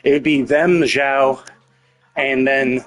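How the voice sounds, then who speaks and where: A man streaming a game live, talking casually and haltingly as he works through numbers to himself. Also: mid-range voice, steady tone. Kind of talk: casual gaming stream commentary, thinking aloud.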